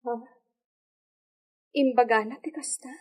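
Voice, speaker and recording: A woman with an Ilocano accent acting a weary, anxious young expectant mistress in a radio drama, her voice husky and plaintive. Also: fairly high pitch, steady tone, slow pace.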